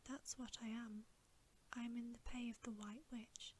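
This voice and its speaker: A woman's voice: on the high side, flat delivery, moderate pace, natural voice. A woman voicing a guilt-ridden, weepy male fawn in a whispered ASMR storybook reading. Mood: remorseful confession. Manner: whispered, sorrowful, remorseful. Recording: faint background hiss.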